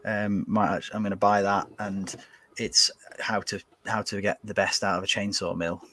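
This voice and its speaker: A man's voice: fairly low pitch, flat delivery, moderate pace, bright, forceful tone. A man chatting casually as a podcast guest, rambling with many fillers and restarts. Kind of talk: casual chat.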